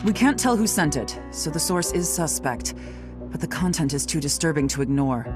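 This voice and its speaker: A serious, dutiful lady knight from a fantasy game, talks in a direct, businesslike way. Her voice is low, with music underneath. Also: expressive, normal speed. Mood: urgent, serious. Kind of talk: video game voice acting.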